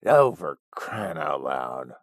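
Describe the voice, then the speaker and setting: Man doing a gruff drawling old ranch hand. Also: a bass voice, big pitch swings, dry recording, clean studio sound, voice pushed down, full character voice. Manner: gruff rural drawl, slow. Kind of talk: audiobook character voice.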